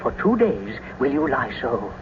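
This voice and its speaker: A man's voice: medium pitch, sing-song, a little slow. A man playing an earnest, fatherly friar in a classical tragedy, with an urgent, declamatory delivery. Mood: urgent, reassuring. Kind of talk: classical tragedy radio acting. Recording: muffled, noisy old radio recording.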